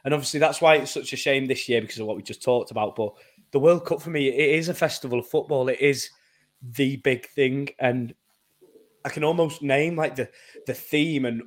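Laughing young man chatting football. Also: mid-range voice. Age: 20s. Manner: animated, laughs often, repeats words while thinking. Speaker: podcast co-host. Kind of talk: casual chat.

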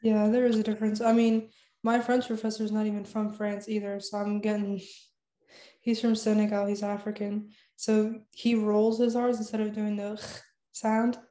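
A woman's voice: medium pitch, little pitch movement, normal speed, mellow, echoey room. A young woman whose first language is Spanish, chatting casually and laughing often, with lots of "like" fillers. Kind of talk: casual chat.

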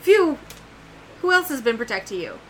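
A woman voicing an eager young girl in a raised, bright voice. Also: on the high side, sing-song, normal speed, a little hiss or hum.

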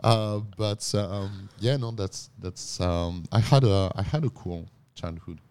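A man's voice: low-pitched, takes it slowly, a little mellow, clean tone. A man in his fifties, a native French speaker with a French accent, chatting as an interview guest, often repeating words and laughing easily. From France. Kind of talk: casual interview talk.

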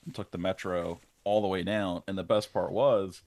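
A young man co-hosting a podcast, slightly hoarse, telling stories casually with many ums. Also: low-pitched, steady tone, normal speed, a little mellow, no room sound. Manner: chatty storyteller with many ums. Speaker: podcast co-host. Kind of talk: casual chat.

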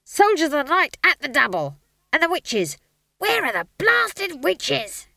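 An excitable dwarf stage manager, played by a woman reader in an audiobook. He barks orders like a drill sergeant, strained and exasperated. The pitch is driven very high, with a sharp, bright edge. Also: very slow, creaky, dry recording, clean studio sound, exaggerated voice. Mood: furious. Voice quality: strained yelling. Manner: barking, drill-sergeant urgency. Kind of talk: audiobook character voice.

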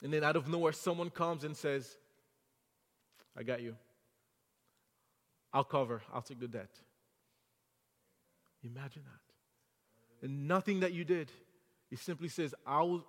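A man from Haiti who speaks English with a French accent preaches in an earnest, building way. His voice sits fairly high for a man. The church sanctuary leaves a long, lingering reverb behind his words. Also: a little slow, pauses often. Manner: earnest, rising to fervent exhortation. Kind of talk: sermon.

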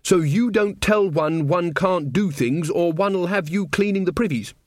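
A man's voice: on the high side, very animated, moderate pace, a little mellow, husky, no room sound, clean studio sound, pitched above the performer's own voice. A man voicing a flustered young queen who puts on pompous regal airs in a storybook reading. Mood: haughty. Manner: pompous, speaks of herself as "one".

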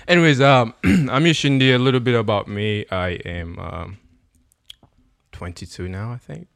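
A man's voice: mid-range voice, measured pace, creaky, no echo. A man in his twenties, guest on a podcast, chatting casually with frequent laughs and "um"s. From Uganda. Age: 20s. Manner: relaxed, laughs often, many ums and likes. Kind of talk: casual chat.

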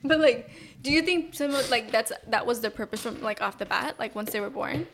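A woman's voice: on the high side, brisk, fairly bright tone, a little hiss or hum. A young woman hosting a casual podcast chat, argumentative and profane, often laughing. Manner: argumentative, swears, laughs a lot. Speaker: podcast host.

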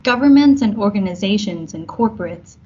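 Woman from Taiwan, late 30s, podcast guest; measured and thoughtful, corporate phrasing, average pitch, dull lo-fi sound. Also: expressive, dark tone, husky. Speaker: guest, marketing executive. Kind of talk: podcast interview answers. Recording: dull lo-fi with faint noise.

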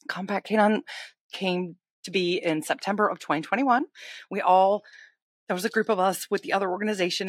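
A woman's voice: lowish voice, no room sound, clean studio sound. A woman co-hosting a casual chat, talking in a friendly, chatty way. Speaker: podcast co-host, lender, rescue founder.

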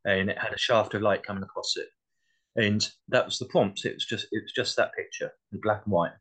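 Low-voiced man chatting as interview guest. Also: fairly even intonation, a little fast, fairly bright tone. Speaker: interview guest, playwright and actor. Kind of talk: casual interview chat.

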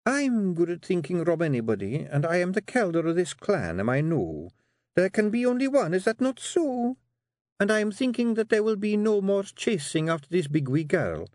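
A man puts on a young Scottish fairy queen for an audiobook. She speaks in a high, lilting pitch, meek and sweet on the surface but firm and quietly dangerous, a wife who will be obeyed. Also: very animated, normal speed, no room sound, studio quality. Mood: stern, scolding. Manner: deceptively meek and sweet, pointed, controlled. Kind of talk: audiobook character voice.

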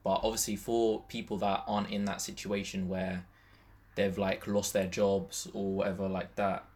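Lowish-voiced young man in casual chat. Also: flat delivery, normal speed, a little mellow. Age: 20s. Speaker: podcast co-host.

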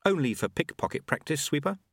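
A man's voice: on the high side, sing-song, a little fast, creaky, no echo, clean studio sound, voice pushed up, full character voice. A man voicing a young male apprentice monk with a plain, wry way of talking.

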